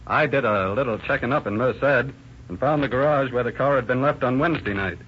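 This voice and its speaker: An actor playing a sheriff who gives a matter-of-fact report, in a 1930s radio drama. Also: male voice, mid-range voice, brisk, lightly acted. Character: sheriff reporting his findings. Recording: dull old radio recording with hiss.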